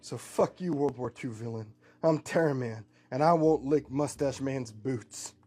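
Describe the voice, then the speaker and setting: A man's voice: mid-range voice, unhurried, a little mellow, close to an everyday voice. A man voicing an earnest cowboy hero in a tabletop story, folksy and emotional over music. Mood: defiant. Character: earnest, defiant male cowboy hero, tender and wounded.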